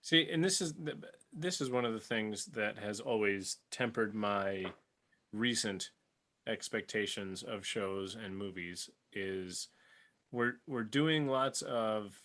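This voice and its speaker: A man's voice: on the low side, takes it slowly, a bit bright. A man co-hosting a podcast in a casual panel discussion. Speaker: podcast co-host.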